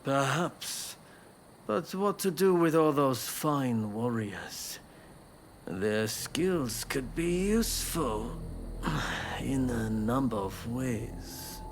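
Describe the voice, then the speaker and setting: An actor playing a calm, cunning political schemer in a video game, measured and insinuating. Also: male voice, mid-range voice, a little hiss or hum. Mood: sly composure. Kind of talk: dramatic video game dialogue.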